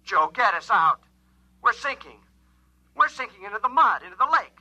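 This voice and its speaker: A man's voice: high-pitched, sing-song, normal speed, sharp tone, slight background noise, exaggerated voice. A man acting an alarmed alien spaceship pilot in a 1950s science fiction radio drama, speaking urgently through a radio transmission. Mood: desperate, pleading. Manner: urgent, insistent, short clipped reports. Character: alarmed alien spaceship pilot, joking turning desperate, pleading.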